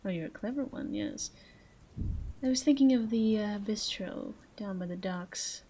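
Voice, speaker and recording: A woman's voice: mid-range voice, warm tone. A woman playing a flirty, self-assured female mafia boss in a roleplay audio drama, coy and teasing as she speaks straight to the listener. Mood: coy flirtation. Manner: coy, teasing, drawn-out phrasing with breathy asides.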